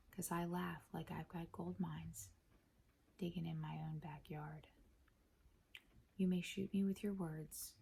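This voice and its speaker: A woman speaking softly in a breathy half-whisper, gentle and measured, as an ASMR host reading to listeners. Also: lowish voice, slight background noise. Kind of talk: soft-spoken ASMR poetry reading.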